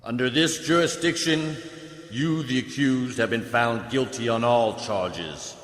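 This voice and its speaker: A man's voice: mid-range voice, a little slow, a bit bright, lightly acted. A man playing a stern, cold judge in a science fiction radio drama, speaking formally and with authority. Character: stern, cold male judge passing sentence. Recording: echoey hall with long reverb.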